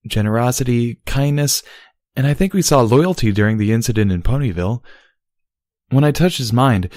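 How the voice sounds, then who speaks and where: A man's voice: medium pitch, a little mellow, no echo, clean studio sound, voice pushed up, lightly acted. A man voicing a female elder pony princess, anxious and troubled by conscience, with a fretful, formal manner in a storybook reading.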